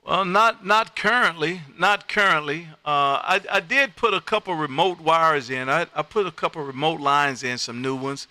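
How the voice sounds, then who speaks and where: A man hosting casual amateur radio chat, with a loud, folksy, animated patter. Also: a little high, moderate pace, few pauses, dry recording. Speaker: amateur radio operator and livestream host. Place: home radio room.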